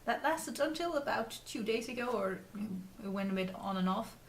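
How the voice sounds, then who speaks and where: A woman from the Netherlands with a Dutch accent, hosting a casual craft vlog in a halting, rambling way while getting over a cold. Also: medium pitch, lively intonation. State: recovering from a cold. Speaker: podcast host. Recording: distant mic with faint noise.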